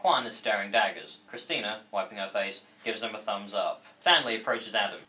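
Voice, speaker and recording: A man's voice: fairly low pitch, a little fast, pushed and bright. A man reading out stage directions as scripted narration at a comedy table read. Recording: table read with faint noise.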